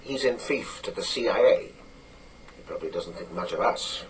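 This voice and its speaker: Low-voiced gruff British spy chief. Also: male voice, animated, moderate pace, recorded from a distance, a little hiss or hum. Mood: dry, commanding. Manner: clipped, commanding, matter-of-fact. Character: gruff, authoritative older British spy chief, dry and brisk. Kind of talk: radio drama acting.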